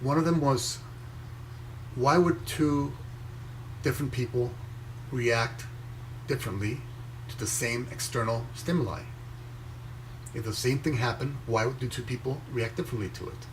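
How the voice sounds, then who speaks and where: A man raised in Venezuela speaks in a slow, measured, reflective way, breaking his sentences into short phrases with pauses. His voice sits at a middle pitch, with a faint hiss of background noise. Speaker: inspirational speaker reading his own post. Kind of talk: reflective motivational reading and commentary.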